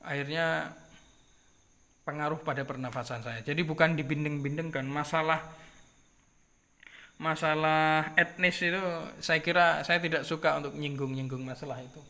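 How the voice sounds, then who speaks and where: A man with a Madurese accent and a clearly nasal voice, talking in an easy, unhurried way to his viewers. His tone is bright and forceful, set a little above the usual male range. He repeats phrases and drops in soft filler sounds as he goes. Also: fairly high pitch, steady tone. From Jember, East Java, Indonesia. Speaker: keris collector. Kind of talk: casual vlog talk to viewers.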